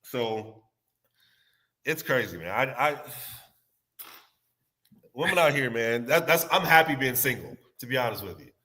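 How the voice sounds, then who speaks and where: A man with a stuffy, under-the-weather voice and a bright, forceful edge talks loosely on a podcast. He rambles and restarts his sentences as he talks. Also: medium pitch, animated, unhurried, pauses often. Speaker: podcast guest. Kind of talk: casual podcast chat.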